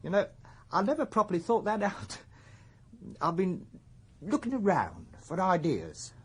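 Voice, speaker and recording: A whimsical middle-aged English shopkeeper on the run in a radio play. His voice is breathy and rough-edged, with very lively pitch. He hesitates, then toys with fanciful words. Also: measured pace, full character voice. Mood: tentative. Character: mild, whimsical middle-aged runaway shopkeeper seeking work. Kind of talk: naturalistic radio drama acting.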